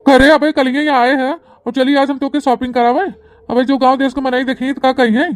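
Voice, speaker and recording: Woman as a high-voiced, henpecked Awadhi cartoon husband. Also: flat delivery, fairly quick, no echo, studio quality, natural voice. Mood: reluctant. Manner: whiny, exasperated. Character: henpecked, grumbling young newlywed husband in a comedy cartoon. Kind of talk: exaggerated cartoon comedy dialogue.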